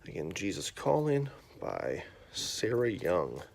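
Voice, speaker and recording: A man's voice: deep voice, slow, creaky, background noise. A man in his thirties hosting a bedtime story livestream, chatting casually with lots of fillers and then reading aloud. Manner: relaxed, rambling, lots of fillers.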